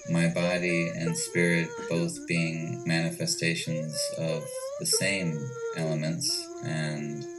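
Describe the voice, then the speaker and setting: A man with an American accent giving reflective, measured answers as an interview guest. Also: extremely low pitch. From United States. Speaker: interview guest, ayahuasca retreat owner. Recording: distant mic with clear music behind.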